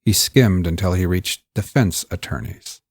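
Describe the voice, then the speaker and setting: A man narrates crime fiction with a low-pitched, slightly rough-edged husky voice. The delivery is measured and theatrical, like a host of old-school mystery programs. The sound is dry and close, with no room on it. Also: expressive, no room sound. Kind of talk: scripted crime story narration.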